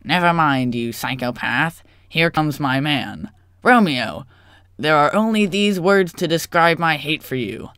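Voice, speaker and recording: A man as a cocky, slow-talking puppet brawler. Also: on the high side, animated, sharp tone, smooth, no echo, studio quality, full character voice. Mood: taunting. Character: cocky, sarcastic, quarrelsome young sock puppet brawler.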